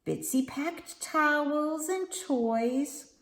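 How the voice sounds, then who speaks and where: A woman with a high, lively voice reads a storybook to little children. She takes her time, drawing each line out in a gentle sing-song, and her voice rings in a roomy space at home. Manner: slow, gentle, sing-song read-aloud delivery. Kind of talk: reading a picture book aloud to young children.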